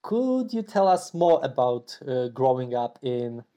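A man hosts an interview and asks his guest questions in a friendly way. His voice is mellow and dark in tone. He hesitates often and leans on fillers while he finds his words. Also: mid-range voice, very animated, unhurried. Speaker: interviewer, podcast host. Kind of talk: casual interview.

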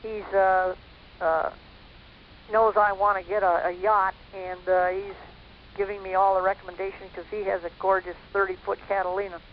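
A man rambles effusively in casual interview chat. His voice is notably high, and the sound is muffled and noisy, like a call. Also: little pitch movement, normal speed. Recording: muffled, noisy phone-like line.

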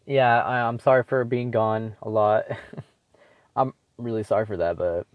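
A man's voice: lowish voice, fairly even intonation, measured pace, no room sound, phone-quality sound. A teenage boy talking alone in a casual, rambling way, swearing often and full of fillers and restarts, as host of his own show. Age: teens. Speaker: solo podcaster.

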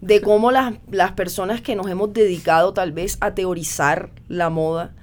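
A woman's voice: mid-range voice, fairly even intonation, moderate pace. A woman from the coast, speaking as a guest author in an easygoing discussion, with articulate, academic phrasing. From Cartagena, Colombia. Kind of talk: conversational discussion.

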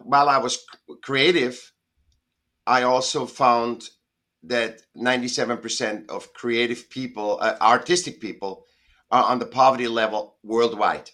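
A man's voice: mid-range voice, fairly even intonation, unhurried, bright, forceful tone. A middle-aged man, a guest on a video call, talking in a rambling, emphatic way and often correcting himself. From Austria. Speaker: guest artist. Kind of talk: casual interview talk. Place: home in Southern California.

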